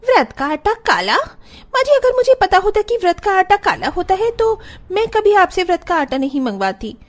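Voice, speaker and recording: A woman's voice: very high-pitched, sing-song, moderate pace. A woman voicing a spiteful, haughty young sister-in-law in a cartoon story, bossy and scornful. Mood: indignant. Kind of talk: Hindi cartoon story dialogue.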